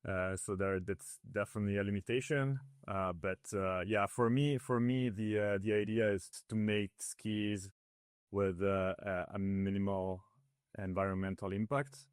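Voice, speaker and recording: A man's voice: on the low side, fairly even intonation, unhurried, smooth, no echo, studio quality. A man from northern Italy, raised in Switzerland, whose first language is Italian, talking through a casual interview with many fillers and restarts. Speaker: ski builder guest.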